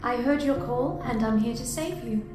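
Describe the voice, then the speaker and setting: An actress playing a calm, enigmatic celestial being in an audio drama, speaking in a measured, cryptic, assured way. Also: female voice, fairly high pitch, close to an everyday voice. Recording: echoey, with music underneath. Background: music.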